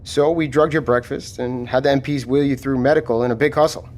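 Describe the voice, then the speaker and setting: A man playing a steady, matter-of-fact military lieutenant taking charge after a disaster in an audio drama. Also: medium pitch, a little fast, slight background noise, lightly acted. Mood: measured, resolute.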